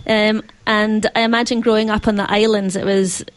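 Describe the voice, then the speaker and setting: A woman's voice: mid-range voice, flat delivery, normal speed. A woman with a Scottish accent hosting a radio interview from a studio. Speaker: radio show host.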